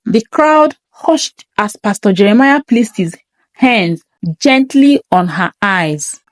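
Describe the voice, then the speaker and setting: A woman's voice: mid-range voice, expressive, takes it slowly, dry recording. A woman reading a scripted first-person story in a dramatic, suspenseful manner.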